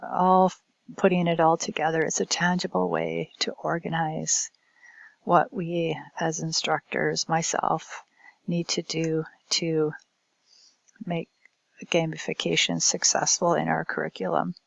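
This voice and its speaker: A woman giving a recorded academic presentation as a language instructor, speaking in a halting, hesitant way. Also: low voice, flat delivery, gravelly, no echo, dull audio.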